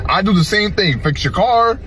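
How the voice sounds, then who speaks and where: A high-voiced man argues with incredulous rises and falls in his voice. Faint car and street noise sits behind him in a clip. He presses his point hard. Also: animated, moderate pace, a bit bright, rough recording. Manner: incredulous, arguing. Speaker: boyfriend in a viral clip. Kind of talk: argument in a social media clip.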